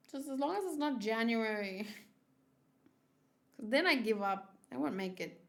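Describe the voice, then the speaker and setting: A woman's voice: a little high, expressive, takes it slowly, creaky, a bit bright. A woman streamer chatting casually with her viewers, rambling and thinking aloud as she often repeats herself. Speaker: streamer hosting a manga read-along. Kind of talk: casual livestream chat with viewers.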